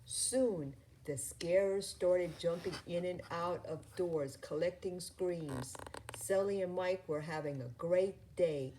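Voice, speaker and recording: A woman reading a children's story aloud in a deliberate, sometimes halting way. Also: on the low side, warm tone, a little hiss or hum, low-quality recording. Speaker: storybook reader.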